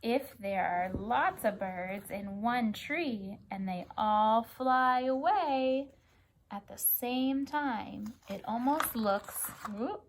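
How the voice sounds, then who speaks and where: An American woman reading a picture book aloud for children and chatting gently, often prompting a young child with questions. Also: a little high, takes it slowly, slight background noise. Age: adult. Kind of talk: children's story read-aloud with gentle chat.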